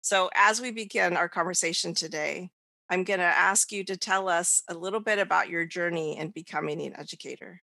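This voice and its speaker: A woman's voice: on the low side, fairly quick, creaky, pushed and bright, no room sound. A woman from the United States hosting a podcast, giving prepared introductions. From United States, child of Mexican immigrants. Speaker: podcast host.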